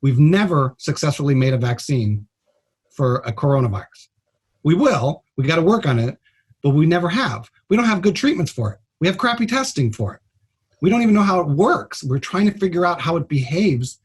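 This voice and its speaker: A man's voice: a little high, sing-song, brisk, slightly rough. A man speaking as a guest doctor in a radio interview, explaining things in a plain-spoken, blunt and informal way. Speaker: trauma surgeon, guest doctor.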